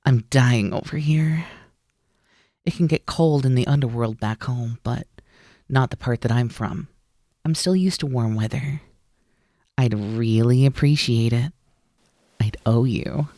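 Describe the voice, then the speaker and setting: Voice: medium pitch, measured pace, long pauses, dark tone, dry recording, clean studio sound, lightly acted. A performer in an ASMR roleplay voicing a mischievous, teasing naga snake-demon roommate who coaxes playfully and likes to be in charge. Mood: playful, coaxing.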